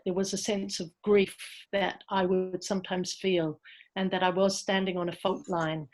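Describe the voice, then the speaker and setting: A woman giving an informal presentation on an online call, speaking in a hesitant, reflective way. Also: medium pitch. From New Zealand. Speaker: presenter from a community organisation.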